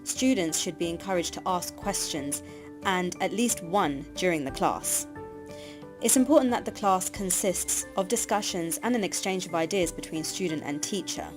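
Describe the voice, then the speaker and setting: Woman reading a teacher training voice-over, calm and measured, music playing under her. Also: lowish voice. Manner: even, measured instructional reading. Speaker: course narrator. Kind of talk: scripted narration for teacher training. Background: background music.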